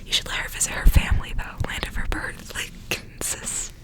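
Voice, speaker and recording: A woman speaking in a soft, breathy whisper, hushed like an ASMR reader sharing a story. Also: normal speed. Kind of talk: whispered ASMR story reading with casual asides.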